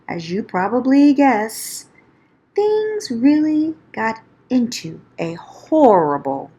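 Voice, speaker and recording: A woman reading a rhyming children's story aloud as narrator, in a theatrical, expressive storytelling manner. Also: mid-range voice.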